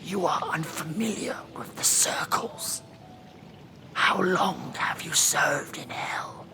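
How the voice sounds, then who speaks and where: A man voicing a stern, impatient demon judge of Hell in a whispered horror ASMR role-play, scolding and commanding. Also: fairly high pitch. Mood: exasperated, instructing. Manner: scolding, weary, deliberate, commanding.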